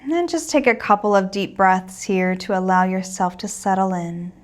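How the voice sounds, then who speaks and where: A woman teaching a guided meditation in a gentle, soothing and reassuring manner. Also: mid-range voice, moderate pace.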